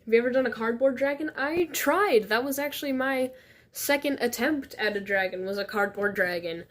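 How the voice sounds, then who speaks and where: A woman's voice: a little high, brisk. A woman streaming while crafting, reading chat questions aloud and answering casually, a little stuffed up and sniffly. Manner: reads chat questions aloud, chatty and laughing.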